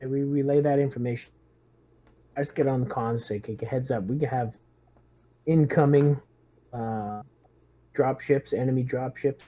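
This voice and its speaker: Dark, muted male tabletop player. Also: medium pitch, steady tone, normal speed, long pauses. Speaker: player in a tabletop roleplaying game. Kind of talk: casual in-character game chat.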